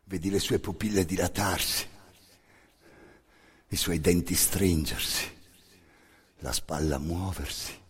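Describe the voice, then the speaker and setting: A man performing an intense theatrical monologue, narrating in emphatic short phrases with a hoarse, gravelly voice. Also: low-pitched, slow, long pauses. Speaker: stage actor narrating a monologue. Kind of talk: dramatic second-person theatrical narration.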